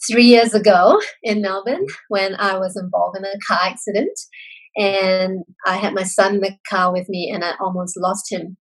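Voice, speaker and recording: A woman's voice: mid-range voice, low-quality recording. A woman in her forties from Singapore, a guest telling her life story in an earnest, reflective way, with frequent restarts and fillers. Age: 40s. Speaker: interview guest. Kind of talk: candid personal storytelling.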